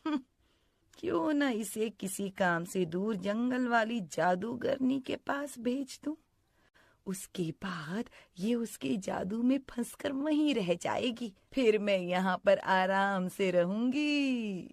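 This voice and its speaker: Cartoon stepmother, played by a woman: cruel and scheming, slow measured delivery, close dry sound. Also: mid-range voice, lively intonation, gravelly, no echo. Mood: plotting. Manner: scolding, plotting, falsely sweet. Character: scheming cruel stepmother who feigns sweetness. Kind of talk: animated story character acting.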